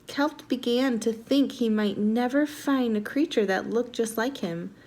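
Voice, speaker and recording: A woman reading a storybook aloud to young children in an animated, expressive teacher's manner. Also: fairly high pitch, unhurried. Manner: animated, asks the listeners questions.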